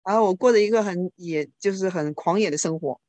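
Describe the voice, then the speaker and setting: A woman's voice: fairly low pitch, darkish tone. Woman interpreting a speech live. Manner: quick, informal, self-correcting.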